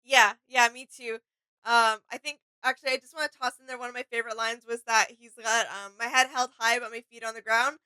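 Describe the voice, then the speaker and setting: A woman's voice: on the high side, steady tone, brisk, sharp tone, smooth, no room sound. A woman co-hosting a reaction video, giving casual commentary. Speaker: reaction channel co-host.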